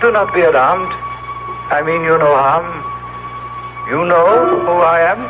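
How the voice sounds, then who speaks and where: An actor playing a strange, calm visitor from a future Earth in an old radio drama, speaking in formal, halting phrases. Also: male voice, on the high side. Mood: calm, reassuring. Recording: tinny band-limited radio recording, music behind.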